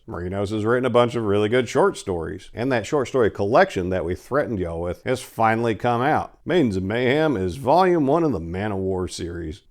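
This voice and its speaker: A man with a notably low voice works as host and dramatic reader. His delivery is theatrical and emphatic, shaped for storytelling aloud. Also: darkish tone.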